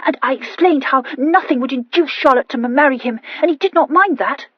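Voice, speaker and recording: A woman's voice: high voice, brisk, no echo, highs cut off, pitched above the performer's own voice, lightly acted. A woman voicing an eager young lady who stammers on her words.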